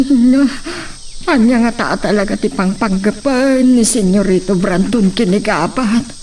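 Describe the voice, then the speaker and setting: A woman's voice: on the high side, darkish tone, lightly acted. A woman with an Ilocano Filipino accent playing a troubled female villager in a radio-style drama. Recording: distant mic, faint background noise with insects.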